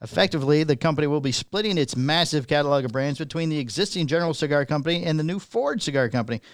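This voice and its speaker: A man co-hosting a talk show, reading news items aloud in a casual way and often halting to find his place. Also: a little high, dry recording, clean studio sound. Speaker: podcast co-host reading news.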